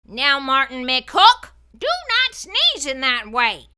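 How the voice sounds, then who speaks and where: Woman putting on a very slow, stern storybook dad. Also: sits high, big pitch swings, noisy background, heavily acted. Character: stern, serious father scolding his son.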